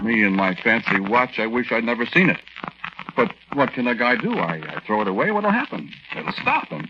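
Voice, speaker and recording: A man's voice: medium pitch, sing-song, lightly acted. Man acting a haunted war veteran, noisy old radio. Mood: anxious, brooding. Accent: American. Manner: colloquial, halting, anxious, confiding. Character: nervous, haunted American war veteran telling his strange tale. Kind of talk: 1940s radio drama monologue, conversational first-person narration. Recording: noisy, muffled 1940s radio recording with clicks.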